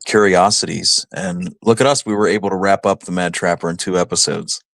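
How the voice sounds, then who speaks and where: A man's voice: deep voice, steady tone, brisk, gravelly, a bit bright, no echo, studio quality. A man co-hosting a radio show, speaking in a casual conversational style.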